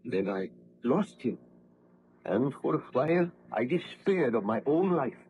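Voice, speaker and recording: An actor playing a tormented, guilt-ridden baron scientist, speaking in a formal, anguished, declamatory way in a 1930s radio horror play. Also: male voice, mid-range voice, sing-song, unhurried, darkish tone. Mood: weary, haunted. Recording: noisy old 1930s radio recording.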